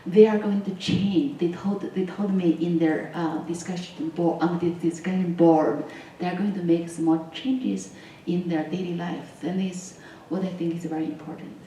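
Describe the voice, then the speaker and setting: A woman's voice: fairly low pitch, fairly even intonation, moderate pace, warm tone. A woman professor on a panel, talking with many restarts and fillers. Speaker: panelist, earth science professor. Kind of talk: panel discussion answers. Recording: echoey hall mic from far off, faint noise.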